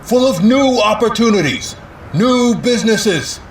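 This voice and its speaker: A man playing a polished, evasive city mayor in an audio drama, with a measured, rehearsed politician's delivery. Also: high voice, animated, echoey room, slight background noise, rough recording. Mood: upbeat, official.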